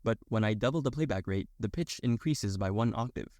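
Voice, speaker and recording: A man's voice: on the low side, expressive, brisk, loud music behind the voice. A young adult man reading a scripted technical explainer as a narrator.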